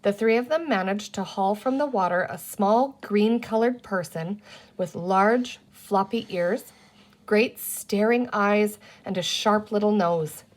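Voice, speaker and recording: A woman's voice: mid-range voice, measured pace. A woman reading a children's book aloud as the narrator of the story. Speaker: storybook reader.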